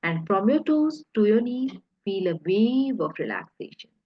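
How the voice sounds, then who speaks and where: A woman leading a guided hypnosis relaxation in a soothing, deliberate way, pausing between short phrases, with a husky, breathy voice. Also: medium pitch, big pitch swings, dry recording, lo-fi sound.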